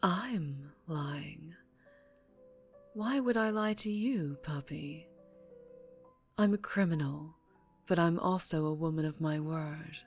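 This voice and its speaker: A woman playing a dangerously charming female mafia boss in an intimate ASMR roleplay, ruthless yet tender, speaking close and hushed with condescending affection. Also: low-pitched, slow pace, pauses often, breathy edge, soft background music, exaggerated voice. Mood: cool, menacing. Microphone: close-up microphone.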